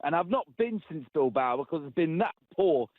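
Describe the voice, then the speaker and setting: Fed up fella phoning in from the car, highish voice, dry tinny line. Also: male voice, animated, a little fast, no echo. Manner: exasperated, repeats himself. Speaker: radio phone-in caller. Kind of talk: casual phone-in call.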